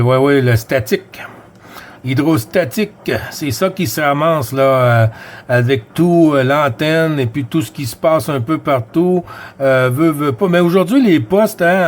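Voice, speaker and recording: Québécois guy on the cb, talks slow, drawn-out, fillers everywhere. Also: on the high side. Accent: Québécois French. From Quebec. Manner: drawn-out, filler-heavy, uses radio codes. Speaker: CB radio operator. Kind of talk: casual CB radio chat.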